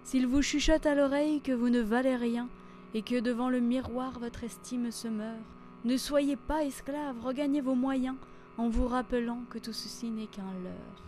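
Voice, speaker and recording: A woman reciting a poem in a measured, theatrical and solemn manner. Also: on the high side, fairly even intonation, dark tone. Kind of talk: dramatic poetry recitation. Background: instrumental music.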